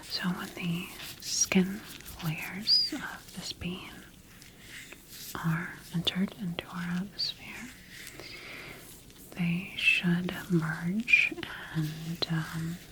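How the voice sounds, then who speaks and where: A woman whispering breathily in an ASMR sci-fi roleplay as a scientist studying her creation, speaking deliberately with pauses. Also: fairly low pitch, level tone, noisy background. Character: quietly rogue female scientist studying her engineered creation. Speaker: ASMR performer.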